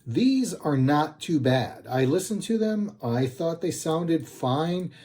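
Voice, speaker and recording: Male reviewer, slow pace, soft-edged mellow tone, casual, halting, self-correcting. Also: a little high, big pitch swings. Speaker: product reviewer, channel host. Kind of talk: casual unscripted product review.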